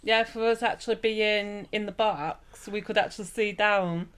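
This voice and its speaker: Quick-chiming woman in a hotel room. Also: mid-range voice, fairly even intonation, a bit bright, smooth. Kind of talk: casual family chat.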